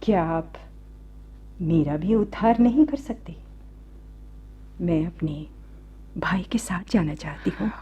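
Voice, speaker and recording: A woman playing a devout, pleading young captive princess in a radio drama, speaking softly in formal, plaintive phrases on a muffled recording. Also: on the low side, takes it slowly, long pauses, heavily acted. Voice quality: soft, breathy, mellow. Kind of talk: slow, theatrical Hindi radio drama acting.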